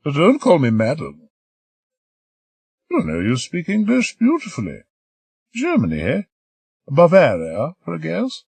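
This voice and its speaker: A man voicing a spry elderly woman, a grand old stage star, brisk and sharp-tongued but amused. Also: a little high, wildly swooping pitch, creaky, breathy edge, no room sound, voice pushed up, exaggerated voice. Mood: kindly, chatty. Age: older.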